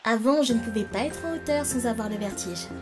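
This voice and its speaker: A woman's voice: fairly high pitch, a little fast, music in the background, lightly acted. A woman voicing a kind, encouraging older girl in an anime fan dub, explaining things in a supportive way. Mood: gentle, reflective.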